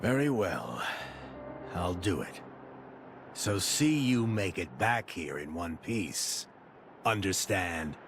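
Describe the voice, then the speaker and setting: A man's voice: low voice, big pitch swings, takes it slowly, long pauses, over music, full character voice. An actor playing a grave, reserved, dignified older man in a video game, speaking formally and tersely. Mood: solemn, guarded. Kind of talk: video game cutscene acting.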